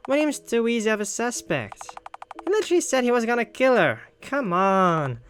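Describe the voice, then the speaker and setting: A man's voice: very high voice, big pitch swings, moderate pace, a bit bright, faint music behind, pitched above the performer's own voice, exaggerated voice. A man voicing a mocking, commanding mastermind killer in a mystery game, theatrical in delivery.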